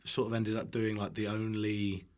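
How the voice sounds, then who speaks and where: A man's voice: fairly low pitch, monotone, moderate pace, darkish tone. A young man with a British accent chatting casually as a podcast guest, self-deprecating and prone to swearing. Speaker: podcast guest, online cooking creator. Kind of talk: casual chat.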